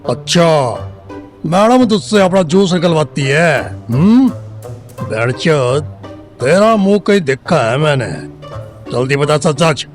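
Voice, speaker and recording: A man acting a crude, threatening police sub-inspector in an audio drama, with a gruff manner and a Haryanvi accent. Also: fairly high pitch, big pitch swings, takes it slowly, faint music behind. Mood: suspicious, threatening. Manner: gruff, menacing interrogator, swearing freely. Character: crude bullying male Indian police sub-inspector, threatening and foul-mouthed.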